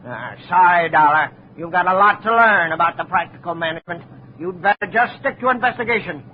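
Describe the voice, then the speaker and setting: A smug, harsh mill boss. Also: male voice, high-pitched, lively intonation, a little fast, husky. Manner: condescending, lecturing. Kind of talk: 1950 radio drama acting. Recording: muffled 1950 radio broadcast, faint hiss.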